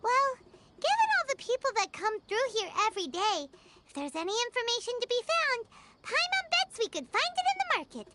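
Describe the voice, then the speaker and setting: A woman's voice: very high voice, big pitch swings, brisk, very noisy. A woman voicing a small, chatty floating fairy girl companion who talks about herself by name, in a video game. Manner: chirpy, refers to herself by name. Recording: game audio with background music.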